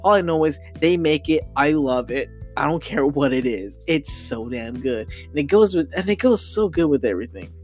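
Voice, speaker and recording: Rambling young man, very lively pitch. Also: normal speed, hardly any pauses, soft background music. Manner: rambling, excitable, stumbles and restarts often; casual slang with frequent "man" and "freaking". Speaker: podcast host. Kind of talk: solo podcast chat.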